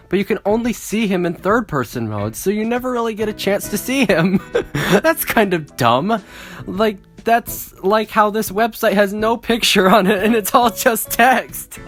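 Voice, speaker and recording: A woman casually reading out a script and commenting on it as host. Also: medium pitch.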